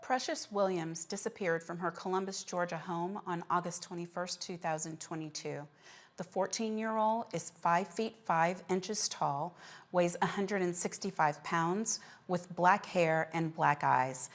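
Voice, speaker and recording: Measured low-pitched woman news anchor. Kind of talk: scripted news reading.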